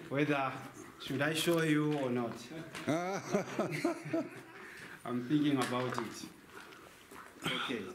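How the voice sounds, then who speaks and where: A young man working as a tour guide, giving a guided cave tour talk. He explains things by asking a question and then answering it himself. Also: a little high. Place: large cave, indoors. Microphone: handheld mic, camera a few meters away. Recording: echoey cave, faint noise.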